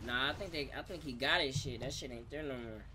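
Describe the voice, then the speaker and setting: A man's voice: medium pitch, fast, bright, forceful tone. A man gaming in roleplay as a gang member, bantering brashly in heavy street slang with lots of swearing. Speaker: gamer playing a gang member in online roleplay. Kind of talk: in-character improvised street banter. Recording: noisy game audio with vehicle sounds. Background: game street and vehicle noise.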